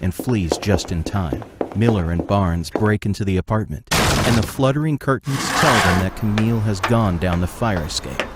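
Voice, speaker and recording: A man's voice: lowish voice, moderate pace, loud music behind the voice. A man reading scripted scene narration for an audio drama. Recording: loud background noise, clicks.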